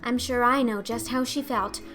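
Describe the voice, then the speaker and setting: An earnest, imaginative orphan girl acted by a woman pours out her thoughts in a storybook reading. The voice is high and effusive, rambling along dreamily, with music playing underneath. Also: steady tone, moderate pace, fairly bright tone, close to an everyday voice. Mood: wistful, imaginative. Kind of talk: audiobook character acting.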